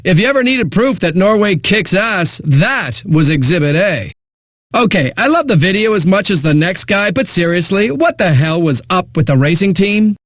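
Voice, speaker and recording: An actor playing a breezy, smug radio DJ in a video game, delivering hyped, sardonic patter between eighties songs. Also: male voice, high-pitched, a bit bright, dry recording, heavily acted.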